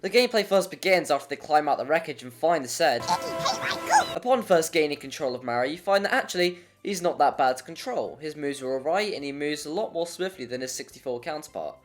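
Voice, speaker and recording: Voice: mid-range voice, animated, fairly quick. A narrator reading a scripted video essay in an informal, jokey way with rambling casual asides. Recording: music under the voice. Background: background music.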